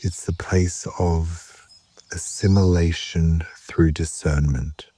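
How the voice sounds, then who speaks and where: Deep, slow, mellow male meditation guide. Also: a little hiss or hum. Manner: calm, measured, with long pauses between short phrases. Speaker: yoga nidra meditation guide.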